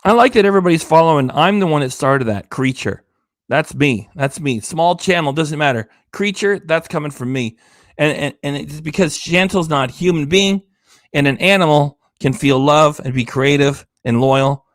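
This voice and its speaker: A man's voice: on the high side, moderate pace, dry recording, clean studio sound. A man hosting a reaction livestream, ranting with sarcasm and swearing, tired and losing his voice. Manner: sarcastic, indignant, swears often. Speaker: reaction channel host.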